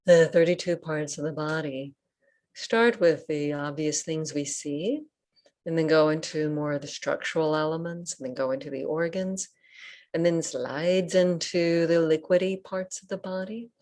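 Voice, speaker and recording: A woman leading a guided meditation as a teacher, speaking gently in short, unhurried phrases with pauses. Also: low-pitched, steady tone. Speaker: Buddhist nun and meditation teacher. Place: online group session over video call.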